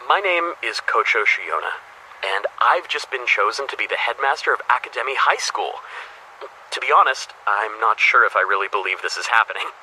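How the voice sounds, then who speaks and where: A man's voice: on the low side, expressive, moderate pace, bright, forceful tone, a little hiss or hum, poor audio. A man playing an earnest, reflective school headmaster in a video game, confiding his thoughts in a conversational, musing audio diary. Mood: eager, amazed. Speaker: headmaster character.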